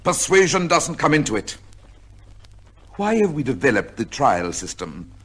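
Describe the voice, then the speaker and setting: An actor playing a cold, proud judge in an old radio drama, speaking in a formal, emphatic way. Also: male voice, medium pitch, big pitch swings, a little slow, husky. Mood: cold, insistent. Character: stern, cold, proud retired English high court judge. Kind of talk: old-time radio drama acting, first-person narration. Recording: old radio recording with music.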